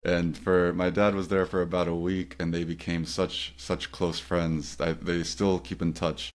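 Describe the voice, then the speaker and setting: Low-voiced male podcast host. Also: measured pace. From Egyptian family. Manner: relaxed storytelling, frequent "you know". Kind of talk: casual podcast conversation.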